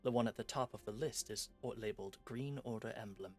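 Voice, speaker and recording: A man streaming himself reading visual novel narration aloud, quiet and even, correcting himself now and then. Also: fairly low pitch, fairly quick. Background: game music.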